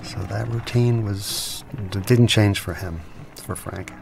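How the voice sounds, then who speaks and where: A man co-hosting an unscripted film commentary, speaking conversationally with an analytical, measured manner. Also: on the low side, creaky. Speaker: filmmaker co-commentator. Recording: film soundtrack music playing behind. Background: film soundtrack music.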